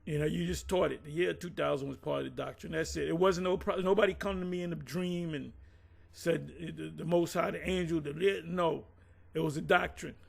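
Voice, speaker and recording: Brief: a man with a high voice, blunt and profane, rambling through old grievances in casual talk. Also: fairly even intonation, brisk, vocal fry, husky. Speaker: former group member recounting events. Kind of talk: casual conversation.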